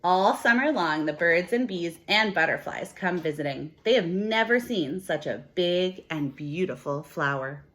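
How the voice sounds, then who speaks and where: Female teacher, lowish pitch, expressive children's read-aloud style, emphatic on key words. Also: a little slow, few pauses. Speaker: teacher reading a picture book aloud.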